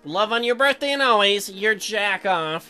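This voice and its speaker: A woman's voice: mid-range voice, expressive, bright, forceful tone. A woman voicing an earnest, apologetic young newlywed husband who is sweet on his wife, reading visual novel dialogue. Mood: tender, poetic. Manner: earnest, halting, self-deprecating. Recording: music behind the voice.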